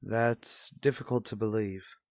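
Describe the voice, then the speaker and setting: An actor playing a stern, guarded husband in a radio soap drama, speaking in a formal, measured, skeptical way. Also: male voice, fairly low pitch, fairly even intonation, a little mellow, dry recording, studio quality. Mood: doubtful. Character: stern, guarded husband and father in troubled marriage. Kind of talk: revived vintage radio soap drama acting.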